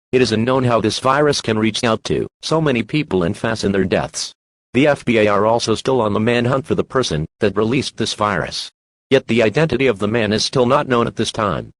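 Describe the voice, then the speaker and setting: A man voices a male government alert announcer with a medium-pitched voice. He reads a scripted emergency message in an official, impersonal way. The recording is close and dry. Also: no room sound, close to an everyday voice, low-quality recording.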